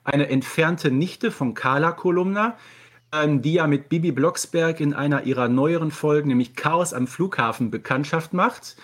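A man's voice: fairly high pitch, normal speed. A man talking casually as a podcast guest, explaining things in detail.

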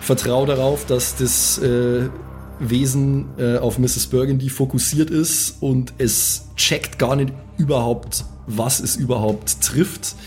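A man's voice: medium pitch, steady tone, slow, a little mellow, soft background music. A man casually improvising his moves as a tabletop player, thinking aloud with some hesitation. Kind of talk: casual improvised game talk.

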